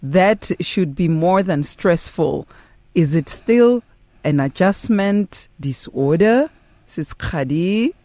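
A woman's voice: on the low side, animated, slow pace, mellow, dry recording. Female host, South African accent, questioning manner, dry recording. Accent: South African English. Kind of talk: interview hosting.

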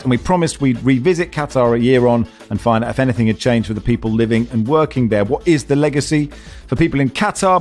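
A man's voice: mid-range voice, a little mellow. A man hosting a football podcast, introducing the panel in an upbeat, chatty way. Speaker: podcast host.

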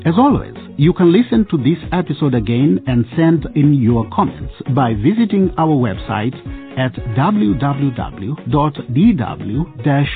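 A man with a medium-pitched, very lively and husky voice presents a radio drama, speaking straight to listeners. Music plays behind him and the sound is phone-like. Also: a little slow. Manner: addresses the listener directly. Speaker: narrator, programme presenter. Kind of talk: scripted radio drama narration.

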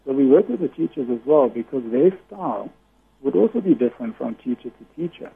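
A man with a naturally loud voice speaks as a guest on a radio interview, explaining his points earnestly and at length. His voice is very husky and comes through a thin, tinny phone line with a narrow band. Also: mid-range voice, animated, a little fast.

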